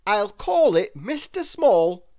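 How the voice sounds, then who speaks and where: A confident man who writes children's books, as a narrator acts him out. He is encouraging and deliberate, with an emphatic, very lively storybook delivery. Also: medium pitch, pitched above the performer's own voice.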